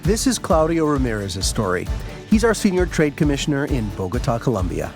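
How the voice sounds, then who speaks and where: A man reading scripted documentary narration in a polished, even way. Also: medium pitch, brisk. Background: background music.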